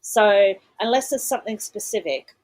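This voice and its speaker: A woman with a cold, hoarse and coughing, chatting casually and apologetic. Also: mid-range voice, lively intonation, moderate pace, dry recording. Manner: apologetic, coughs and clears throat. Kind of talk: casual livestream chat.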